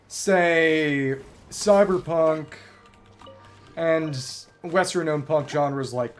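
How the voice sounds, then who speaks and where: A man livestreaming a video game, giving casual, bookish commentary that wanders into long tangents. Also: on the high side. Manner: bookish, discursive, digressive.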